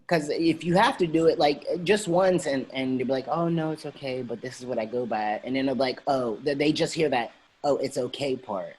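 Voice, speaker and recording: A man's voice: medium pitch, moderate pace, darkish tone, slight background noise, low-quality recording. A man speaking as a panelist, casual and slangy, animatedly retelling everyday encounters over a video call.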